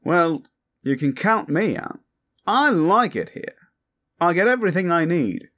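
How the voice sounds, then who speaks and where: A man voicing a breezy, self-indulgent, cheeky monster in a fantasy audio roleplay, with a husky voice and a flippant, chatty manner. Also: on the high side, sing-song, a little slow, a bit bright, voice pushed up, heavily acted.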